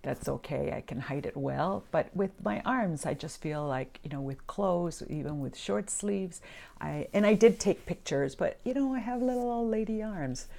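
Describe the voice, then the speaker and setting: An older woman from Argentina talks openly in a personal vlog, her voice low and gravelly. She hesitates often, circling back with fillers and restarts while she reflects. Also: lively intonation, moderate pace, warm tone. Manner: confessional and reflective. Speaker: weight-loss vlogger.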